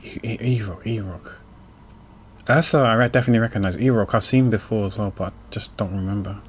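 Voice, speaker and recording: A man's voice: on the low side, fast, slight background noise. A man streaming a game, giving casual, informal commentary as he muses aloud.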